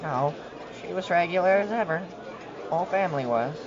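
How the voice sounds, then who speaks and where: A woman's voice: on the low side, expressive, normal speed, crowd noise, natural voice. A woman playing a guarded, uneasy suburban neighbor who speaks tersely and hesitantly in an audio drama. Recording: muffled sound under clear music. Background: music.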